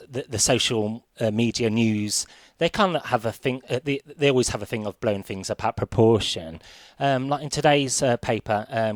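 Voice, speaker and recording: A British man interviewing on radio in a conversational way, halting and often repeating words as he forms questions. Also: mid-range voice, no room sound. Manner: hesitant, stammering word repetitions.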